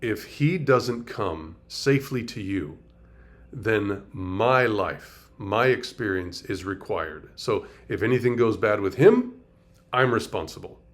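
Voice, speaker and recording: A man's voice: fairly low pitch, lively intonation, slow. A middle-aged man, a pastor, teaching a conversational Bible study, breaking down word meanings and asking rhetorical questions.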